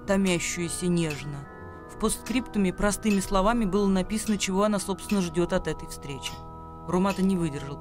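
A man with a notably high voice narrates a radio drama. He reads literary prose as a scripted storyteller, with faint music playing behind him.

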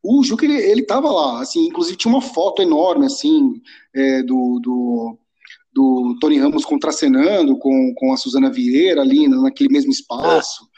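A man's voice: mid-range voice, normal speed, warm tone. A man from São Paulo, chatting casually and rambling as a podcast co-host, swearing freely. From São Paulo, Brazil. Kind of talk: casual chat.

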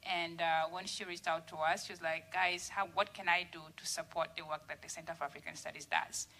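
A woman's voice: lowish voice, fairly even intonation, brisk, pushed and bright. A woman introducing a guest speaker at a university event, with a few fillers in her speech. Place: university lecture room.

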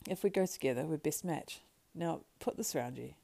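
A woman's voice: low voice, normal speed, gravelly, warm tone. A woman voicing a loyal, practical male hobbit servant from a storybook, deferential and homely in manner. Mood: reassuring, practical. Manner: deferential, homely rustic phrasing.

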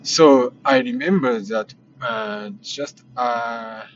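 Tired Japanese-accented man, slow and hesitant. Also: medium pitch. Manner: hesitant, many fillers and self-corrections. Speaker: vlogger. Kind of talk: casual spoken diary. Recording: muffled lo-fi with background noise.

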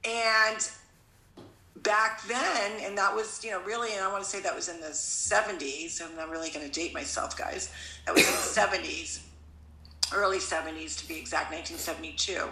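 Earnest woman, gravelly and bright. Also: lowish voice, fairly even intonation, normal speed, echoey room, low-quality recording. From Connecticut, USA. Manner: earnest, rambling with frequent restarts. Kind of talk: casual conversation.

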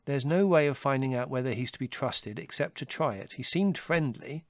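A man's voice: medium pitch, normal speed, no echo, clean studio sound, voice pushed up, lightly acted. A man reading a storybook, voicing a clever young male rabbit who reasons things through. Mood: reasoning.

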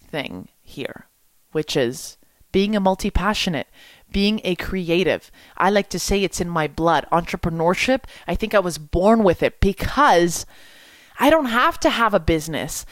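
Brief: young woman from Quebec, French native, higher voice, dry close sound, punchy coaching. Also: dry recording. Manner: energetic, rhetorical questions. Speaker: business coach. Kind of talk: coaching talk to camera.

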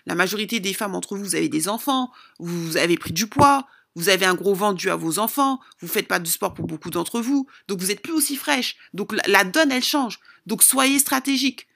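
A woman with a mid-pitched voice and a clear fry in it talks straight to her followers. She gives opinionated advice informally, as a vlogger would. Her delivery is emphatic and insistent, often repeating a point to press it home. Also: fairly bright tone.

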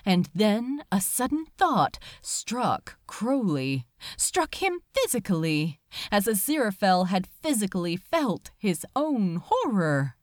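A woman giving a dramatic, expressive and measured reading of a scripted story. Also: mid-range voice, no echo, clean studio sound. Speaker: amateur audiobook reader.

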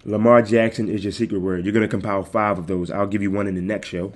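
A man hosting his own podcast, talking casually and directly to his listeners in a calm, soothing voice into a desktop microphone. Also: lowish voice, quick pace. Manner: easygoing, addresses listeners directly. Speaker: podcast host. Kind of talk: casual solo podcast talk. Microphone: desktop USB microphone.

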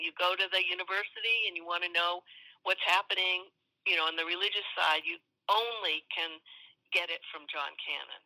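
Older woman with a very sharp, bright voice. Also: fairly low pitch, rough recording. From suburb of Boston, USA. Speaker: interviewee recalling student activism. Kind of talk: conversational interview reminiscence.

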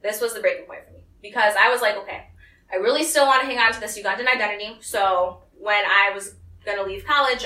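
A bright, forceful young woman talking to a small group in a room full of echo, a little back from the mic. She tells her story in a lively way and slips into other people's voices for the quotes. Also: medium pitch. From United States. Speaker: speaker giving a talk. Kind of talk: personal talk to a small group. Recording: echoey room, voice from some distance.

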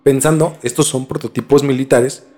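A man's voice: mid-range voice, steady tone, normal speed, warm tone. A man with a Mexican accent telling a story in a casual, slangy podcast chat as host. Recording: music bed behind the talk. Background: music.

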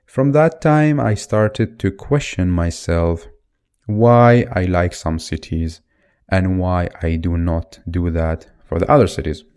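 Low, dark-voiced young podcast host. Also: male voice, takes it slowly, smooth. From Iraq. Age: 20s. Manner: unscripted, thinking aloud with fillers and restarts. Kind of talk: solo podcast monologue.

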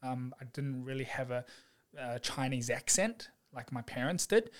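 A man's voice: mid-range voice, normal speed. Laid-back New guy chatting. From New Zealand. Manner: relaxed, chatty, laughs easily, lots of fillers. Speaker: guest, physiotherapist. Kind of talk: casual chat.

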